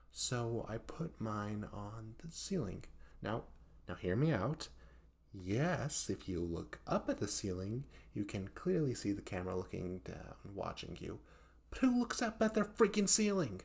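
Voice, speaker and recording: A man's voice: on the low side, creaky. A man playing a hapless young male best friend in a comedic audio roleplay, rambling and stammering in a flustered, self-deprecating way. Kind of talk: comedic audio roleplay monologue addressed to the listener.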